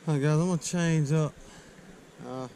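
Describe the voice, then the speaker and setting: A man's voice: steady tone, normal speed, mellow. A man hosting a casual fishing vlog outdoors, talking in an informal, slangy way. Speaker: fishing channel host. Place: outdoors by a river below a dam. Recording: noisy outdoor recording with ambient rumble.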